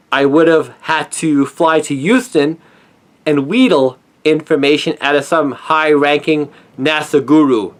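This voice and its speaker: A middle-aged man delivering a scripted opinion monologue in an earnest, emphatic manner with deliberate phrasing. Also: on the high side, fairly bright tone. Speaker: conspiracy commentator, former newspaper journalist.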